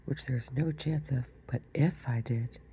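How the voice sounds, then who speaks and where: A haughty, quarrelsome egg of a man holds forth from his wall in a storybook reading, whispered by a woman. The voice is very low and dark, with a gravelly texture under the hush. He lectures and scolds with grand self-importance. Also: measured pace, slight background noise. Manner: proud, argumentative.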